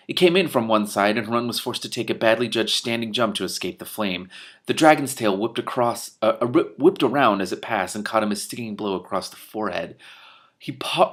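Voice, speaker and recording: A man reading a fantasy story aloud expressively, stumbling and rereading at times, with off-the-cuff asides. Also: lowish voice. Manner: animated in dialogue, stumbles and rereads. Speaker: reader giving commentary on a book.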